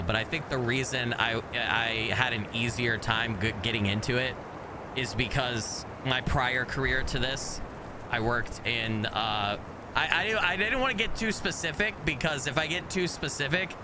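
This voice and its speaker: An American man streams a game and chats with viewers, with a faint regional accent. He rambles and often restarts his sentences. His voice sits at an ordinary middle pitch, and faint outdoor ambience runs underneath. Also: background noise. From United States. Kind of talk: casual gameplay chat with viewers.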